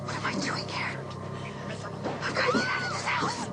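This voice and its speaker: A woman's voice: very high voice, animated, moderate pace, bright, forceful tone, whispered, soft background music, full character voice. A woman playing a wry, sporty, easily exasperated teenage girl in a dramatised audiobook. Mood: frightened.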